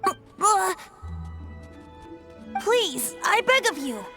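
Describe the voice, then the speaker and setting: A woman's voice: extremely high pitch, very animated, drawn-out words, pushed and bright, soft background music, full character voice. A woman voicing a playful, cheeky, boyish young bard with a theatrical, coaxing manner in a video game. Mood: pleading. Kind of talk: video game character acting.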